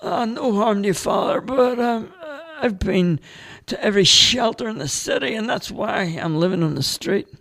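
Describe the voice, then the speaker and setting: A man voicing Dale, a homeless street artist who talks earnestly and dreamily, read from a play script with a gravelly edge. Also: sits high, lively intonation, moderate pace, fairly bright tone, voice pushed up, full character voice. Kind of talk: amateur play read aloud.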